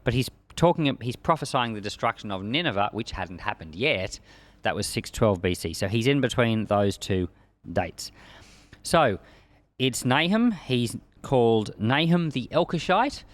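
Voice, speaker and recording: A man's voice: medium pitch, animated, a little slow, dry recording. A man preaching and teaching from the Bible in an emphatic, conversational way. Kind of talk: Bible reading and informal expository sermon.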